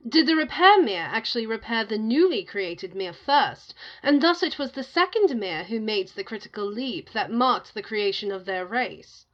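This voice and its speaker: A woman's voice: fairly high pitch, animated, normal speed, hardly any pauses, highs cut off. A woman narrating a scripted myth as a storyteller. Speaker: narrator of a tale within the story.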